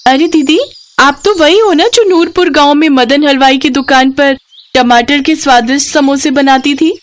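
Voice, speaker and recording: A woman's voice: sits high, moderate pace, pitched above the performer's own voice, natural voice. A woman voicing a chatty, eager young female customer in a cartoon moral story, gushing and familiar. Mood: excited.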